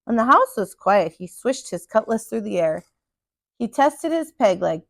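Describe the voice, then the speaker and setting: A woman reading a storybook aloud for children in an animated, dramatic teacher's style. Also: a little high, measured pace, gravelly, dry recording, studio quality.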